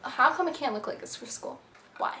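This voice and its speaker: A woman's voice: sits high, animated, a little fast, vocal fry, pushed and bright. Young woman vlogger, bubbly and filler-heavy, camera mic in a roomy space with light hiss. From Egypt, raised in the USA. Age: young adult. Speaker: beauty vlogger. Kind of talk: casual facts-about-me vlog. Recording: echoey room, faint hiss.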